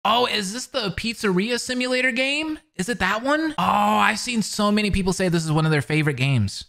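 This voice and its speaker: A man in his twenties giving excitable, exclamatory reaction commentary close on a microphone. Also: high voice, brisk, gravelly. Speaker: reaction video host. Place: small indoor room. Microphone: close microphone on boom arm.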